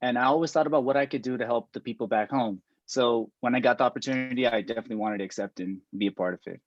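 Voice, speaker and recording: A man's voice: medium pitch, rapid-fire, no room sound. A young man with an American accent introducing himself as a foundation secretary over muffled video-call audio. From United States, born in Sri Lanka.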